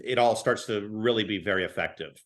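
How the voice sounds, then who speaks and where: A man's voice: fairly low pitch, fairly quick. A man speaking as a writer guest in a casual interview, hesitant and often repeating words as he forms his thoughts. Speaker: podcast guest, screenwriter and audio drama writer.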